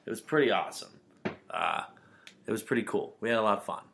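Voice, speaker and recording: A man's voice: fairly low pitch, lively intonation, fast talker, gravelly, a bit bright, a little hiss or hum. A man in his twenties co-hosting a podcast in casual chat, teasing his friend, swearing casually and laughing as he talks. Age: 20s. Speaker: podcast co-host.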